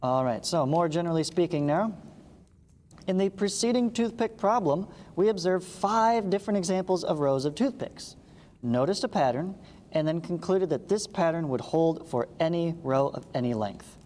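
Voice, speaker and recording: A man's voice: fairly high pitch, animated, normal speed, darkish tone. A man teaching math, reading a lesson aloud and adding casual explanatory asides. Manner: explanatory, checks understanding with short asides. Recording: long reverberant echo, clearly noisy background.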